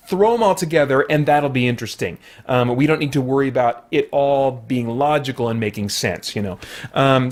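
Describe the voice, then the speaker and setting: A man hosting a casual spoken movie review, rambling along with jokey, animated asides. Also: fairly high pitch. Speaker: film reviewer and host.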